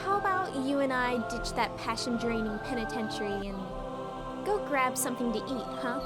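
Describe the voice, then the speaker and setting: High-pitched brooding schoolgirl, acted by a woman. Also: animated, normal speed, loud music behind the voice, close to an everyday voice. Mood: casual, inviting. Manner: articulate, formal, brooding monologue delivery. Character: gloomy philosophical schoolgirl, existentially anxious, articulate. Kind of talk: fan dub of a dating sim game.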